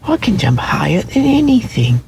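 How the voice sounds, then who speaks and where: A man's voice: sits high, wildly swooping pitch, normal speed, gravelly, a little mellow, a little hiss or hum, voice pushed up, full character voice, rough recording. A man voicing a small, wistful, defensive male velveteen toy rabbit in a dramatic storybook reading, plaintive and insistent. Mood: defiant.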